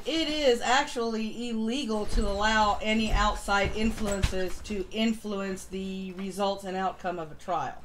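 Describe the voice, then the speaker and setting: A woman's voice: mid-range voice, rough recording. A woman hosting a live stream, giving casual news commentary in a blunt, sardonic way. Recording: live stream with clear background noise.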